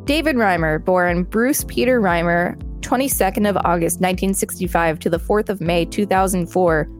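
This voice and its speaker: A woman's voice: lowish voice, moderate pace. Woman co-hosting a podcast over music. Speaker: podcast co-host. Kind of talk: reading an encyclopedia article aloud with commentary.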